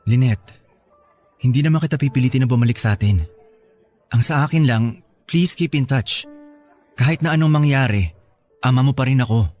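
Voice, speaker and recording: A man's voice: medium pitch, moderate pace, long pauses, a little mellow, faint music behind, natural voice. An actor with a Filipino accent playing a remorseful middle-aged father, imploring and earnest in a radio drama. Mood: guilty, pleading.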